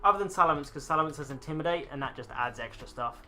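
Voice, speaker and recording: A man's voice: on the high side, rapid-fire, sharp tone. A man in his twenties casually chatting and rambling to viewers while streaming a game. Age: 20s. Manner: rambling, chatty, swears casually, talks to chat. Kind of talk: casual live-stream chat.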